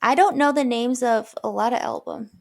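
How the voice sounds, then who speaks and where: A woman co-hosting a podcast in casual chat, talkative and quick to laugh. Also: fairly high pitch, vocal fry, darkish tone. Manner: chatty, laughs easily. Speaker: podcast co-host.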